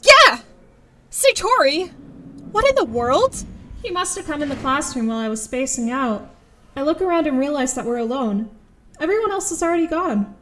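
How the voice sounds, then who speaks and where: A woman playing a reluctant, exasperated high school girl in an audio drama, with dry, grudging first-person narration and dialogue. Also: expressive, fairly quick, slight background noise. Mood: wry, resigned.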